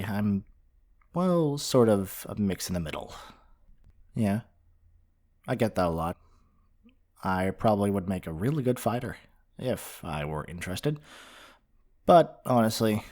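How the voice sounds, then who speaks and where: A half-dragon male librarian, played by a man in an ASMR roleplay, speaks in a fairly low voice. He is flirty and a little possessive, yet earnest and affectionate. His address is close and deliberate, full of tender pet names. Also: animated, darkish tone. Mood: friendly, explanatory. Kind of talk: ASMR romantic roleplay monologue.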